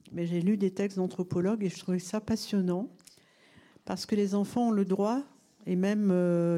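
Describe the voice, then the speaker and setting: A woman author on a panel, speaking enthusiastically and pausing to search for words. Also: medium pitch, dark tone. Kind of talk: panel discussion.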